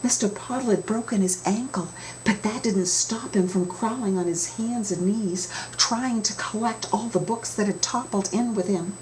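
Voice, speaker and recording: A woman reading a children's storybook aloud with expressive, dramatic emphasis, as an author telling her own tale. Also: medium pitch, normal speed, slight background noise, low-quality recording.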